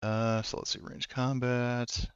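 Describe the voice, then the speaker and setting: A man running a tabletop game as game master, talking haltingly with many fillers. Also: medium pitch, flat delivery, muffled recording, very noisy.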